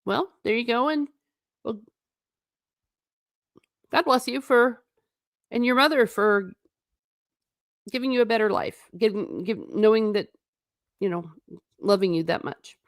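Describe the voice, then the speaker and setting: A woman's voice: on the high side, a little slow, long pauses, no echo, studio quality. A woman hosting a casual livestream, reading out chat comments and answering them in a chatty, wry and tangential way, often restarting her sentences. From United States.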